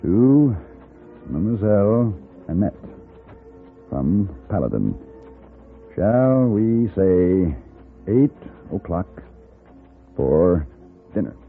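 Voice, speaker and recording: An actor playing a suave, commanding gunfighter for hire in a 1950s radio western, with a smooth theatrical delivery. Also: male voice, low-pitched, sing-song, drawn-out words, pauses often, faint music behind, exaggerated voice. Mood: smitten, eager. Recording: muffled 1950s radio broadcast recording.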